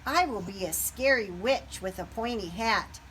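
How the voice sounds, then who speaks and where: A woman voicing a kind-hearted young storybook bear in a gentle, eager, childlike manner. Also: mid-range voice, unhurried, a little hiss or hum, natural voice, low-quality recording.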